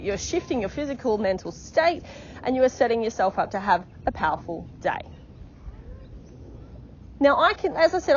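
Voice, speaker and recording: A woman's voice: on the high side, darkish tone, a little hiss or hum. Encouraging woman coach, slightly muffled recording. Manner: encouraging, repetitive, frequent "okay?" check-ins. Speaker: life coach. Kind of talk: motivational podcast monologue.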